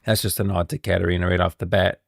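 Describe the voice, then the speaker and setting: A man's voice: low voice, little pitch movement, fast, gravelly, dry recording, studio quality. A man giving casual, rambling live commentary on game lore as a streamer. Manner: rambling, explanatory.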